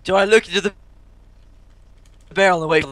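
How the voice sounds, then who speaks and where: A man's voice: high voice, steady tone, normal speed, fairly bright tone, dry recording. A man from Sweden in casual voice chat during an online game, playful and mock-polite, over a distorted crackling mic. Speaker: gamer on voice chat.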